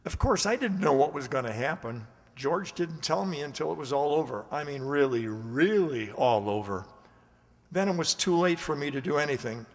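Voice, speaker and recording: A man's voice: on the high side, lively intonation, normal speed. A man playing a meek, hesitant security guard in a radio drama, stammering and folksy as he speaks. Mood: rueful, confiding. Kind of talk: radio drama acting and narration. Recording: reverberant hall-like amateur recording.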